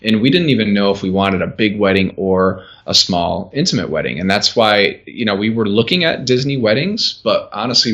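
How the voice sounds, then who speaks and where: A man with a very low voice talks casually and chattily as an interview guest, scattering "like" through his sentences. The recording is dull and slightly lo-fi. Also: fairly bright tone. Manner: chatty, uses "like" often. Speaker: podcast guest, groom recalling his wedding. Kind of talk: casual conversational recollection.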